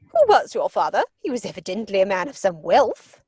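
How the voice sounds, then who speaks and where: A woman's voice: medium pitch, very animated, fairly quick, darkish tone, no room sound. A woman playing an imperious, snobbish older upper-class lady in a theatrical comedy reading, pompous and disapproving. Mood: haughty, disapproving. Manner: pompous, disapproving, crisply emphatic. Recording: dry home video-call recording.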